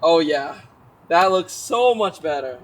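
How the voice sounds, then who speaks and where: A man's voice: fairly high pitch, slow, noisy background. Hyped young guy in a garage. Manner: excited, slangy, hypes things up. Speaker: car vlogger. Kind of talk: casual vlog talk to camera.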